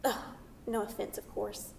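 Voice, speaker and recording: A woman's voice: moderate pace, a little mellow, slight background noise, close to an everyday voice. A woman reading the part of a brash, teasing New York City woman in a staged play, pushy and playfully sarcastic. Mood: excited, envious. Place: theatre, recorded from a distance. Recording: distant mic in echoey hall.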